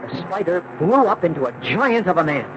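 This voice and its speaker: An actor playing a wary young surfer in a 1970s radio drama, speaking and narrating in a dramatic, emphatic way. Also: male voice, sits high, sing-song, fairly quick. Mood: tense recounting. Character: bewildered young surfer man, wary and agitated. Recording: dull, noisy 1970s radio recording.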